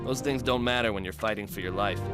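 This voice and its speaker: A man's voice: fairly low pitch, quick pace, lightly acted. A man playing a cynical, battle-hardened American infantry sergeant in a war game, terse and no-nonsense. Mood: grim resolve. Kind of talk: war game cutscene acting and narration. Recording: loud music under voice.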